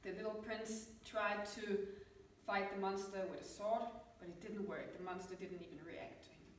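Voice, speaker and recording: A woman's voice: mid-range voice, fairly even intonation, moderate pace. A woman improvising a fairytale as a storyteller. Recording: very echoey room from far off, faint noise.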